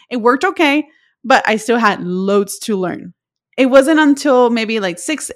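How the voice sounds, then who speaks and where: A young adult woman from Puerto Rico, a native Spanish speaker, telling her own language-learning story in a reflective solo podcast. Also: a little high. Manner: reflective, storytelling with personal anecdotes. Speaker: podcast host.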